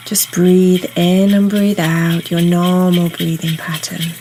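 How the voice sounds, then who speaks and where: A woman guiding a meditation in a soothing, unhurried, instructional way, leaving pauses between phrases. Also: lowish voice, little pitch movement, a little hiss or hum. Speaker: meditation guide. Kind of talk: guided meditation narration. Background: soft ambient meditation music.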